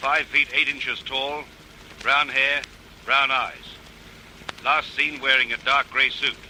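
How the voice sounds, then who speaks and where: A man playing an impersonal police dispatcher in an old radio drama, reading bulletins in a clipped, official monotone. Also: medium pitch, poor audio. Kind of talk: 1940s radio drama acting. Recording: vintage radio recording, faint noise.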